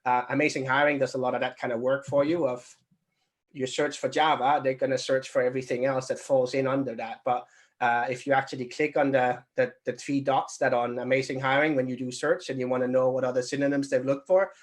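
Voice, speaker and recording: A man's voice: medium pitch, level tone, fairly quick. A man answering questions casually as a recruitment trainer, thinking aloud and rambling as he explains.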